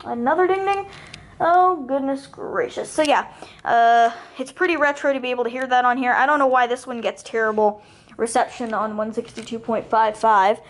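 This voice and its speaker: High-voiced woman, casual and rambling. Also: steady tone, moderate pace, slight background noise. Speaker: hobbyist video host.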